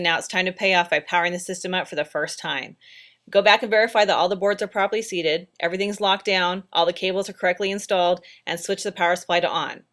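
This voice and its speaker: A woman's voice: on the low side, a bit bright. A woman narrating instructional training slides in a plain, practical, step-by-step way. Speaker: training presenter.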